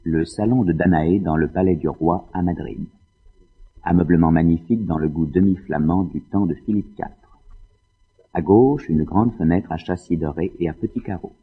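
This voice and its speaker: A man narrating an audio drama, reading scripted stage directions in a husky voice. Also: low voice, moderate pace. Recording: muffled, narrow phone-like sound.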